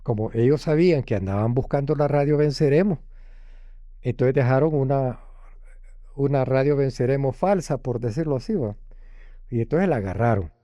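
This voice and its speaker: A man's voice: mid-range voice, normal speed, dark tone, dry recording. A man speaking casually as an interviewee.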